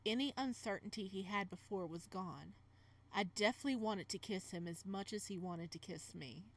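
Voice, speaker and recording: A woman's voice: mid-range voice, normal speed, natural voice. A woman reading as the flustered young male protagonist of a romance visual novel, in dramatic first-person narration. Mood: hushed, romantic.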